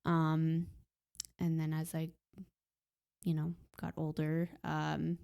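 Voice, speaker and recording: Soft-spoken young woman, hesitant. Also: deep voice, completely flat delivery, slow pace, creaky. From Puerto Rican heritage. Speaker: podcast guest. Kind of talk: casual conversation.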